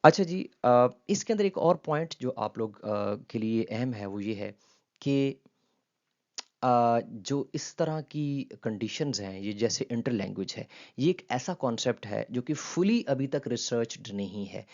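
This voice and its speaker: A man with a Pakistani accent lectures on a linguistics textbook chapter, dropping English terms into Urdu explanations. He pauses often on fillers as he works through each idea. His mid-pitched voice comes through a dull, lo-fi recording. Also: expressive, darkish tone.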